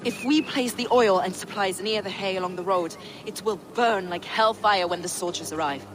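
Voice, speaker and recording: A fierce, confident warrior woman voiced by an actress for a video game. She is silver-tongued, with a medium-pitched voice. Clear environmental noise sits behind her. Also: moderate pace, lightly acted. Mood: grimly eager.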